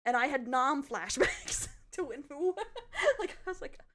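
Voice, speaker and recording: A high-voiced Australian woman streaming a live reaction, with very lively swings in pitch. She is chatty and giggly, swearing bluntly as she comments. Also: normal speed.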